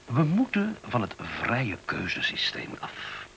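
A bright, forceful pompous male official in a radio drama, his pitch swinging widely. He is stubborn and blustering, and starts to stammer when rattled. The old recording has some background noise. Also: huge pitch swings, slow pace, full character voice. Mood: self-assured. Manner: blustering, hesitant when cornered. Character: pompous, stubborn official running an education experiment, easily rattled. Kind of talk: Dutch radio play acting.

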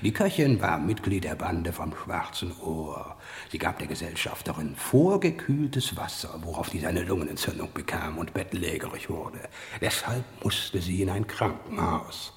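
Cavernous reverb,, solemn master detective, low pitch, portentous formal diction, parody radio play. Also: male voice, lively intonation, normal speed, vocal fry. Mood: solemn explaining. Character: pompous master detective interrogating servants, solemn. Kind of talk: parody crime radio acting.

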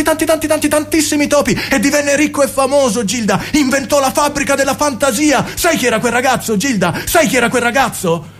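Jimmy, a man playing a high, lively smooth talker. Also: exaggerated voice. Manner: coaxing, wheedling, with sudden laughs. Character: Jimmy, smooth-talking young man, charming manipulator. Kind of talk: radio play acting.

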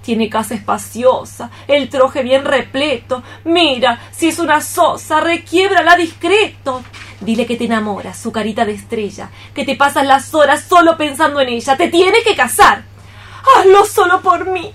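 A woman's voice: sits high, animated, normal speed, fairly bright tone. An actress playing a bossy, matchmaking cockroach mother, coaxing and insistent in a radio play. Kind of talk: radio theatre acting.

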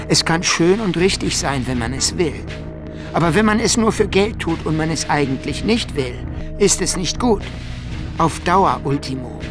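A man's voice: on the high side, lightly acted. An actor playing a dejected young Somali man who confides in his friend, solemn, earnest and plaintive, in a radio play.

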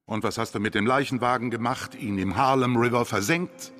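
A man's voice: medium pitch, a little fast, fairly bright tone, big-room sound, lightly acted. A man playing a pompous, grumpy reverend with theatrical preacherly exclamations in a German radio crime drama. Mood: incredulous, scolding. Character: pompous Harlem reverend, grumpy at being woken.

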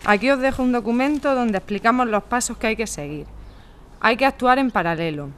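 A woman playing a calm, practical veteran housing activist in a radio drama, explaining things in an organised way. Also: a little high, fairly quick, clear, resonant tone, lightly acted. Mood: calm, helpful. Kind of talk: amateur radio drama acting.

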